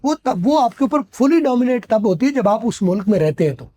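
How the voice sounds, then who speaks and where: A man's voice: very high-pitched, very animated, normal speed, warm tone. A middle-aged man with a Pakistani accent, an English teacher explaining at length and giving advice in a podcast discussion. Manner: authoritative, advising, explains at length in long sentences.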